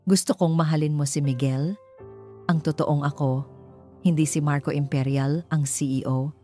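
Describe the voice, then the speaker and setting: A woman voicing a devoted, remorseful young male executive in a romance audio story, speaking softly and earnestly. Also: deep voice, fairly even intonation, normal speed, soft background music, close to an everyday voice. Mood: pleading, remorseful.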